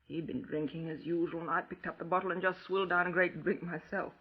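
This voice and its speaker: An actress playing an overwrought, imperious widow who confesses to murder, in old radio crime drama style, husky and theatrical, shifting between vague muttering and sharp commands. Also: female voice, fairly low pitch, fairly even intonation, fast. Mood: vague, shaken. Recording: dull 1950s radio recording.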